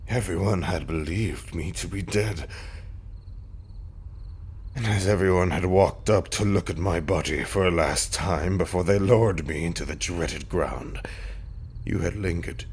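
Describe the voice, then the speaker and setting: A man playing a haunted, tender undead husband in an intimate audio roleplay monologue, speaking haltingly and often slipping into breathy whispers. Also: low voice, darkish tone, a little hiss or hum. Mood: longing.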